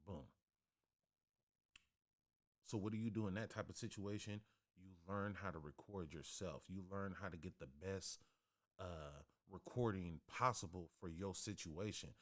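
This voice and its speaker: A man hosting a livestream from his home studio, talking casually and bluntly with lots of profanity and slang in a deep, bassy voice on a studio microphone. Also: unhurried. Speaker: livestream host. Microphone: studio podcast microphone.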